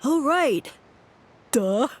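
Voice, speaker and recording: A woman voicing a dim, gullible mushroom sidekick in a video game, excitable and easily impressed. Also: high-pitched, faint music behind, heavily acted. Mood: dim, flustered. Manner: dopey, halting, easily impressed. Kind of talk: video game character acting.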